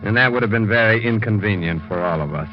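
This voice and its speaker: A man's voice: fairly low pitch, fast talker, soft background music, close to an everyday voice. A man playing a sardonic, ghoulish horror host with tongue-in-cheek mock politeness in 1940s radio style. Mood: gleeful mockery. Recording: dull old radio recording.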